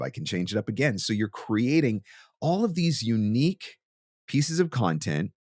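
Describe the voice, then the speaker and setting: Low-voiced man, dry close sound. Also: dry recording. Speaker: host, voice actor teaching marketing. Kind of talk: instructional monologue to camera.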